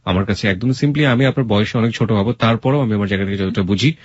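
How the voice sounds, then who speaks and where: A man's voice: fairly low pitch, fast talker, slightly rough, dull audio. A man with a Bangladeshi accent hosting a radio interview, giving blunt, emphatic advice and mixing in English phrases. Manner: mixes English words and phrases into speech. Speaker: radio host.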